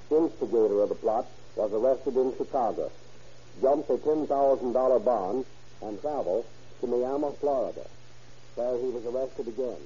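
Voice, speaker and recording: A man gives a formal, scripted report as a police chief on 1930s radio. His voice is dark and rough-edged, delivered stiffly. The old recording sounds muffled. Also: mid-range voice.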